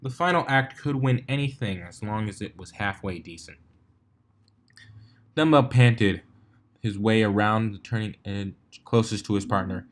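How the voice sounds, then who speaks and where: A man's voice: on the low side, moderate pace. A man reading a fan fiction story aloud as narrator.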